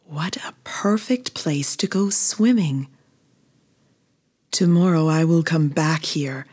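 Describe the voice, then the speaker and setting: A woman's voice: low voice, slow, a little mellow, slightly rough, full character voice. A woman voicing a curious, cheerful little female bumblebee in a children's story, full of eager wonder. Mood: wonder, delight.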